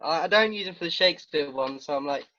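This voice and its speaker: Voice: medium pitch, fast, pushed and bright. A cast member chatting on a video call from home, joking in an offhand way. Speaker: cast member in a read-through. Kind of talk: casual chat on a video call. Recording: dull lo-fi video call audio.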